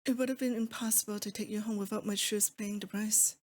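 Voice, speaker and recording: A woman's voice: medium pitch, fairly even intonation, a little fast, a little mellow, close to an everyday voice. A woman acting as a lovesick, possessive demon lord in a romantic ASMR roleplay, intimate and doting with a theatrical menace. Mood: tender, longing.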